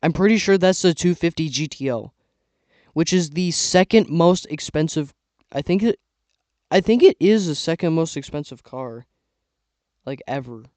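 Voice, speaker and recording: Young male vlogger, rambly casual commentary, clear voice with a slight hoarseness. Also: on the high side, animated, normal speed, pauses often, darkish tone, no room sound. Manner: rambling, filler-heavy, trailing off. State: losing his voice. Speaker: YouTuber ranking toy sets. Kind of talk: casual tier-list commentary.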